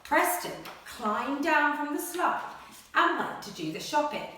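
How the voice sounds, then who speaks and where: A woman primary school teacher speaking to children and reading a picture book aloud in a dramatic, expressive way. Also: sits high, a little hiss or hum. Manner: dramatic, expressive, with gasps and suspenseful pauses. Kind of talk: animated children's story reading and lesson. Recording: very echoey room, slightly distant mic.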